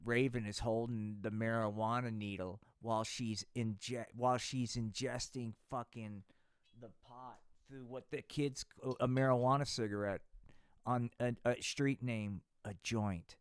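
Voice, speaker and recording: A man's voice: medium pitch, steady tone, unhurried, clear, resonant tone, no room sound, clean studio sound. A man narrating a crude improvised puppet show in a slangy stoner drawl.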